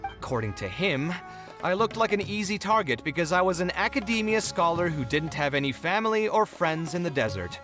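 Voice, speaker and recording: An actor playing a principled, scholarly young architect in a video game, articulate and reflective in an emotive way. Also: male voice, high voice, very animated, fairly quick, a bit bright, soft background music. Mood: rueful storytelling. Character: principled young male architect, scholarly, expressive, too trusting.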